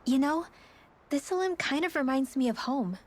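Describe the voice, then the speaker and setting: A woman voicing a guarded young female fighter with a husky voice in a video game. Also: high voice, expressive, moderate pace. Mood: somber.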